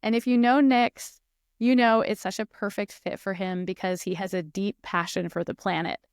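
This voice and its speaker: Upbeat woman podcast host, smooth voice. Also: medium pitch, fairly even intonation, normal speed, dry recording, studio quality. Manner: upbeat, enthusiastic questioning. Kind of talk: podcast intro and interview questions.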